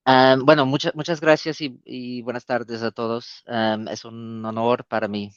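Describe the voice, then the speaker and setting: A man's voice: on the low side, no room sound. A man presenting in a webinar in a language that is not his first, speaking hesitantly with many fillers and repeated words. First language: not Spanish. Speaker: guest speaker for an epidemic preparedness coalition. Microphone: video call. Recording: dull, dry video-call audio.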